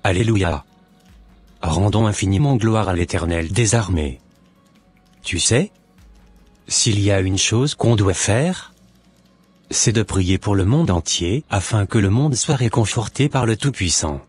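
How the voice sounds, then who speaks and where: A man voicing a calm, reassuring believer. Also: fairly low pitch, a little slow, pauses often, slightly rough, soft background music. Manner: reassuring, sermon-like.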